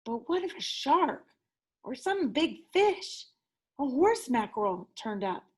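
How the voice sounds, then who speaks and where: High-voiced woman reading a children's story expressively. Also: takes it slowly, husky. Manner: enthusiastic, animated storytelling with dramatic emphasis. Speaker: teacher reading a picture book aloud.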